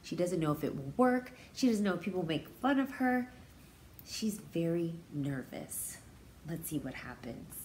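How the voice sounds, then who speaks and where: Expressive woman reading aloud to young children. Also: lowish voice, a little slow, a little hiss or hum. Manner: expressive, explains words and feelings simply to young children. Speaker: pre-K teacher reading aloud. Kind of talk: children's picture-book read-aloud with explanatory asides.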